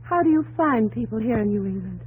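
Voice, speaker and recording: An actress playing a gentle young New England woman who tells her story earnestly and with measured delivery in a 1950s radio drama. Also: on the high side, lively intonation, phone-quality sound, a little hiss or hum, natural voice. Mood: gentle concern. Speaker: narrator and actress.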